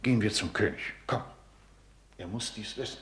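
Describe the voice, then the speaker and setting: A man's voice: lowish voice, normal speed, a bit bright, roomy sound, natural voice. An actor playing an elderly, meddling father and courtier in a classical radio play, theatrical and sententious. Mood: urgent, sententious.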